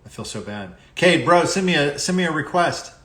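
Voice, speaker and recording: A man's voice: a little high, expressive, fairly quick, sharp tone. A middle-aged man hosting a casual live interview over a video call, talking in an informal, chummy way. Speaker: interview show host.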